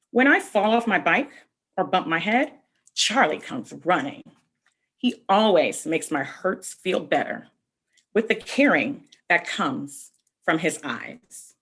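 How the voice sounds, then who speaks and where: A woman reading a picture book aloud to children, explaining things simply with asides and questions in a slightly husky voice. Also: on the low side. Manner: expressive, explaining simply and addressing young listeners with questions. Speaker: read-aloud host for children.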